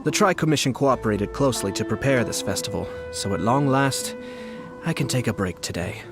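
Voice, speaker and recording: A man's voice: medium pitch, expressive, brisk, lightly acted. A man playing a composed, courteous young clan lord in a video game, speaking formally. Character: polite, composed young nobleman, head of a clan. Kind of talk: video game character dialogue. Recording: game music behind.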